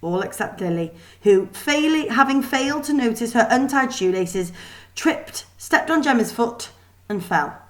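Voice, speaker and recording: A woman reading a children's story aloud in an expressive, deliberate way with dramatic emphasis. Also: on the high side. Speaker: teacher reading a book aloud.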